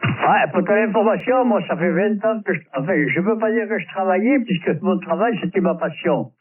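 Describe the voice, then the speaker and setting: A man with a very high voice makes relaxed conversation as a radio amateur. The sound is narrow and tinny like shortwave, and he seems set back from the microphone. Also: moderate pace, far from the mic. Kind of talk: casual ham radio chat. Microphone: radio transceiver microphone. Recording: tinny band-limited shortwave radio transmission.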